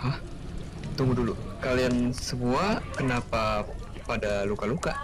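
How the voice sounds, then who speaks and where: A man's voice: medium pitch, lively intonation, unhurried, background noise, lightly acted. A man playing a confused, anxious wounded hero in a fantasy game roleplay.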